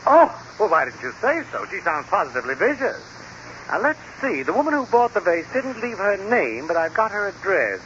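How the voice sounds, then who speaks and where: An actor playing a fussy, persuasive, wry shop clerk in a theatrical 1940s radio sitcom manner. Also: male voice, high voice, very animated, fairly quick, fairly bright tone. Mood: sympathetic, brisk. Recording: lightly hissy, muffled old-time radio recording.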